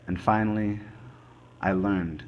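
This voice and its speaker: A man acts as a grave, methodical male investigator in an amateur crime drama monologue. The voice is low, and the phrasing is halting and deliberate. Faint noise sits behind the recording. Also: fairly even intonation, muffled recording. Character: male forensic investigator recounting a murder case, grave and methodical. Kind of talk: scripted dramatic monologue, amateur student production.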